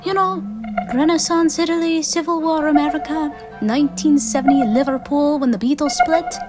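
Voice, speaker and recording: A woman's voice: high voice, normal speed, over loud music, close to an everyday voice. A woman playing a young travelling companion in a fan audio drama, sincere, earnest and inquisitive.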